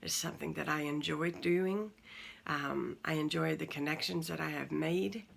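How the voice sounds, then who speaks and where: A woman in her forties, hosting her own channel, talking casually to viewers from home in a croaky morning voice, rambling with many pauses and fillers. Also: deep voice, steady tone. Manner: warm, rambling, many ums. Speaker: channel host. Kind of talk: casual talk to camera.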